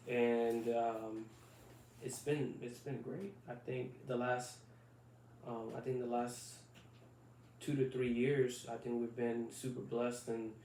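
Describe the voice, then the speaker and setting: A man in his thirties, from Puerto Rico, co-hosts a casual podcast. He talks haltingly, circling back with fillers and restarts, in a flat, level voice. He sits a bit back from the mic in an echoey room with a faint hiss behind. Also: mid-range voice, distant mic. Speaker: podcast co-host. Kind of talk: casual chat.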